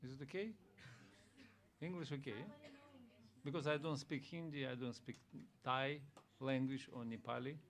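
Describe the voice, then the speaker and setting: A soft-voiced man giving a scripture class in a temple room, speaking halting non-native English into a microphone and often checking that the audience follows. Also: medium pitch, fairly even intonation. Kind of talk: informal temple class talk.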